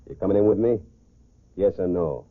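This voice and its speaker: A low-pitched American man as a suave, self-assured swindler from a 1940s crime serial. He gives clipped, calculating orders through a narrow, phone-like old radio recording. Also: animated, normal speed. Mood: cool, scheming. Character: smooth, confident gentleman swindler posing as society golfer.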